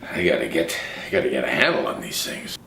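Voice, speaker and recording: A man doing a deep, gravelly, weary radio host. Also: sing-song, fairly quick, sharp tone, echoey room, a little hiss or hum, exaggerated voice. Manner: sighing, muttering.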